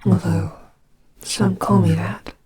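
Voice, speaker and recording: Over clear background noise and ambience, a gentle goddess of death played by a woman speaks in an amateur audio roleplay. Her delivery is very unhurried, soft and breathy. She sounds soothing and protective, reassuring a child with careful, hesitant phrases. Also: fairly low pitch, fairly even intonation. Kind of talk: slow dramatic audio roleplay. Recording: clearly noisy amateur home recording. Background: ambience sound effects.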